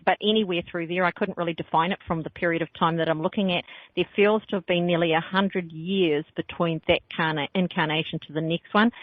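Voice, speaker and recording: A woman's voice: mid-range voice, dry recording. A woman hosting a call-in radio show, giving psychic readings in a playful, jokey way and laughing often. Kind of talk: casual call-in radio chat. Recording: phone-like lo-fi radio sound.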